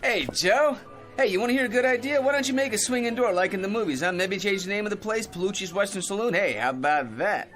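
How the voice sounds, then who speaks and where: Brash American bar bore, radio drama, highish bright voice, boastful, wisecracking, excitable. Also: male voice, fast talker, soft background music. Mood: eager. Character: brash, needy loudmouth bar bore with a gizmo.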